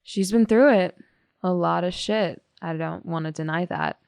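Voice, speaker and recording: Low female voice, bitter teen girl, guarded, blunt, naturalistic audio play, dry recording. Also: measured pace, creaky, a little mellow, clean tone, no echo. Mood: blunt, resentful. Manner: guarded, curt, sardonic. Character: bitter, blunt high school girl, estranged friend of missing girl. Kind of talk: naturalistic audio drama police testimony.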